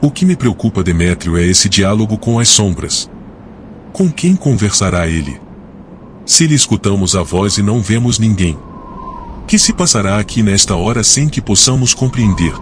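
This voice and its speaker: Man playing uneasy traveller, lowish animated voice. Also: moderate pace, slight background noise. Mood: worried. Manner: questioning, uneasy. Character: worried male travelling companion in ancient times. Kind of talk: dramatized audiobook acting.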